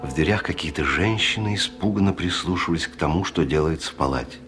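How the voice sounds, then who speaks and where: A man narrating a village tale in a 1970s radio play as a grave, measured storyteller. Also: deep voice, background noise, natural voice. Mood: grave, dramatic. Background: music.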